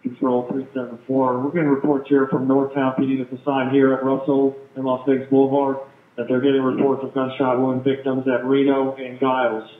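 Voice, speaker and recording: A man's voice: medium pitch, completely flat delivery, a little fast. A man, a police officer, reporting in clipped procedural language over a police radio. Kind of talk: live police radio traffic. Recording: tinny, echoey police scanner radio.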